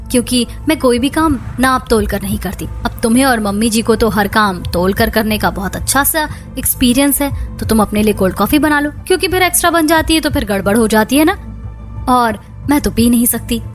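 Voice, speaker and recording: A woman's voice: high-pitched, natural voice. A woman voicing the women of a household in a Hindi moral-story cartoon, over music. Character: women of a quarrelling household: daughter-in-law, mother-in-law, sister-in-law. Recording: music bed behind the voice.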